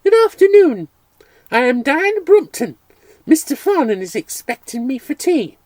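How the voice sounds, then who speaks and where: A man playing a prim, haughty young woman, pitched very high. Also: sing-song, normal speed, darkish tone, dry recording. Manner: prim, spells words out carefully. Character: haughty poised young red-haired woman.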